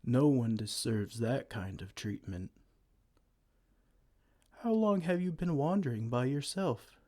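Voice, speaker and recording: A man voicing a gentle, kind male giant who talks softly and soothingly in an ASMR roleplay. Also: mid-range voice, lively intonation, slow pace. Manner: soft, soothing, comforting.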